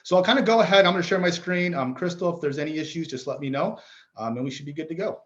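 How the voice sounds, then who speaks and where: A man's voice: fairly high pitch, quick pace, lo-fi sound. A man, a chiropractor, giving an informal talk to parents in plain words, with ums and kinda. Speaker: chiropractor presenting. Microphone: computer mic on a video call.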